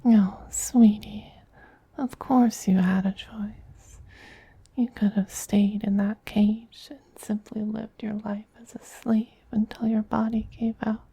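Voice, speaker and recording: Man acting as male vampire queen, very dark soft tone, unhurried, doting, gently dominant, breathy whisper, ASMR roleplay. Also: high-pitched, flat delivery, no echo, heavily acted, rough recording. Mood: loving, soothing. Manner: slow, soothing, gently dominant, intimate.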